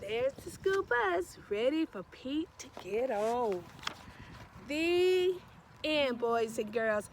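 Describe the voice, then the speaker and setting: A woman's voice: high-pitched, expressive, slow pace, background noise. A woman reading a children's storybook aloud in a sing-song, encouraging way, with emphatic repetition and questions to young listeners. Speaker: read-aloud storyteller, librarian.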